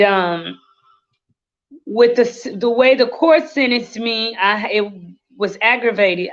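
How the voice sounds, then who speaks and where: Slow-talking Haitian-born woman in her thirties. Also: medium pitch, fairly bright tone. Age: 30s. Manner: slow, earnest, with many fillers. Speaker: guest. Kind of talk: livestream interview, life story. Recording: lo-fi livestream audio.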